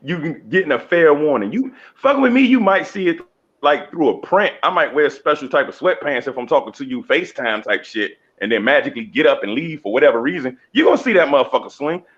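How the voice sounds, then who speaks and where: Male comedian guest, fairly high-pitched, cocky and bawdy, repeats for emphasis, slightly lo-fi sound. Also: big pitch swings, fast talker. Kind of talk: casual, bawdy banter.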